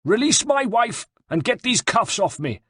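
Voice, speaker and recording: A man acts out a hot-headed Italian soldier of fortune in an audiobook. He is blunt, growling and impatient, with the voice pushed high. The sound is close and dry. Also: lively intonation, normal speed, breathy edge, no room sound. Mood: angry defiance. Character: gruff, hot-tempered Italian mercenary general, loud and blunt. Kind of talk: dramatised audiobook narration.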